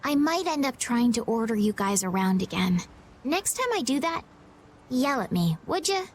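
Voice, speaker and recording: An actress playing an earnest, gentle young noblewoman in a video game, sincere and reflective in manner. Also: a little high, sing-song, normal speed, slight background noise. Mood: shy, hopeful. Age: young adult.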